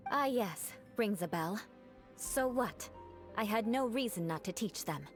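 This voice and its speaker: An actress playing a composed, defiant young female knight in a video game, speaking with dry, cutting calm. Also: mid-range voice, measured pace, natural voice. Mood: cool. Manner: cool, composed, dryly cutting. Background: game music.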